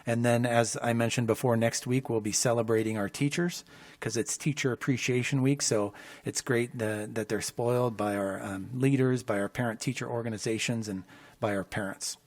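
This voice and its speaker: A man giving a superintendent's report at a school board meeting, measured and appreciative with a few pauses. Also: mid-range voice, steady tone, darkish tone, no room sound.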